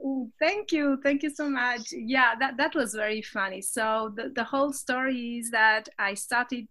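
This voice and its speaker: A woman with a French accent, a guest on a podcast, speaking in halting English with restarts and frequent laughs. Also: fairly high pitch, bright, forceful tone. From Switzerland. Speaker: podcast guest, physics teacher and business coach. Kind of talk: casual interview chat.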